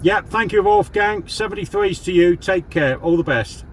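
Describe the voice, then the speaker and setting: A man's voice: high voice, huge pitch swings, normal speed, gravelly, noisy background. A man from southern England, a CB radio hobbyist, chatting casually with radio jargon and walking through a gear demo. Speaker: CB radio hobbyist and video host.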